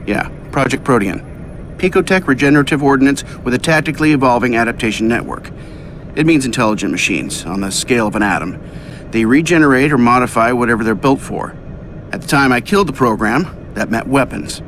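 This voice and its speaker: A man's voice: mid-range voice, expressive, a little fast, a bit bright, slight background noise, lightly acted. Wry man as a cocky inventor hero. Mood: serious, explanatory. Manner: wry, clipped. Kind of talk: video game cutscene acting.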